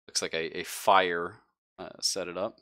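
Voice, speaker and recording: Deep, bright-voiced man running a game. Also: steady tone. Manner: halting, conversational delivery. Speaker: game master. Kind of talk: narrating a tabletop roleplaying game live.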